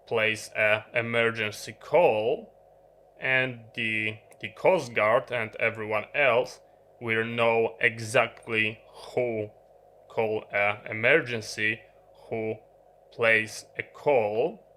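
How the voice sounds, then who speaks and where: A man who is not a native English speaker gives an unscripted product explanation, halting and often pausing mid-sentence. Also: medium pitch, sharp tone. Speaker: video presenter.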